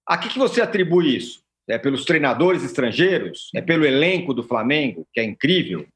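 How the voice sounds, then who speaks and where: A Brazilian man hosting a football podcast, reading a brisk prepared rundown and putting questions to the panel. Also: medium pitch, very animated. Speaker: podcast host. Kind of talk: scripted show opening.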